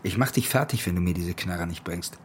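A man's voice: sits low, fairly quick, slight background noise, close to an everyday voice. An actor playing a menacing, controlling young ex-soldier in a radio drama, curt and commanding, with bitterness in his manner. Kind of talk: naturalistic German radio drama acting.

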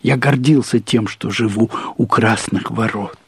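A man reads a literary story aloud in the first person, expressively, with deliberate phrasing, drawn-out words and pauses. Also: fairly low pitch, breathy edge, dry recording. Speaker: narrator reading a short story aloud.

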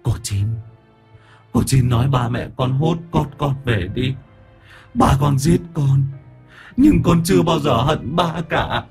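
A man doing a sobbing young ghost, high and pleading. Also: takes it slowly, a little mellow, slightly rough, soft background music. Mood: sobbing plea.

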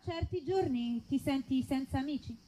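A woman reads a parody advert live as comedy. Her voice is high yet mellow and dark, and she delivers it like a string of rhetorical slogans. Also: moderate pace, rough recording. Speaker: comedy performer, mock advert announcer.

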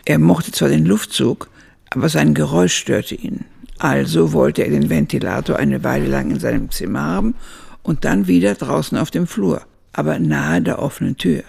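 Radio play narrator: a reflective elderly woman, deeply gravelly and husky, literary, measured delivery. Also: a little mellow, heavily acted. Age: older, over 70. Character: aged woman recalling her teenage summer job.

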